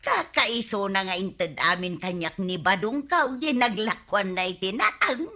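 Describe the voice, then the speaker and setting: A woman playing a nagging, spendthrift village wife with a sharp, scolding delivery in an Ilocano comedy radio drama. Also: medium pitch, big pitch swings, measured pace, heavily acted. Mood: boastful.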